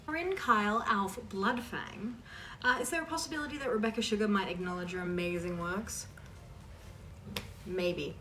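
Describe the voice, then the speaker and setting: A woman's voice: medium pitch, moderate pace, bright, forceful tone, far from the mic, a little hiss or hum. A woman hosting a casual question-and-answer chat for her viewers, informal and emphatic as she reads questions aloud and answers them.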